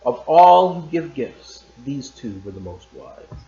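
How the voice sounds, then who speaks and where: Male teacher, story read-aloud, calm and measured, echoey room, slightly dull sound. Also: on the high side, gravelly. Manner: calm and measured, loose and chatty after reading. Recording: roomy, slightly dull recording.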